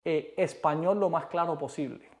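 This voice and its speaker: A man from Cuba with a Cuban Spanish accent, co-hosting a casual chat in an emphatic, animated way. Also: fairly high pitch, moderate pace. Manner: emphatic, animated, repeats rhetorical questions. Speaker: co-host.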